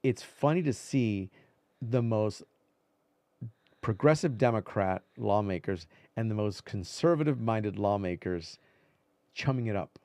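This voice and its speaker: A man's voice: lowish voice, animated, measured pace, mellow, dry recording. A man speaking as a veteran reporter and interview guest, in casual conversational talk full of repetition and asides.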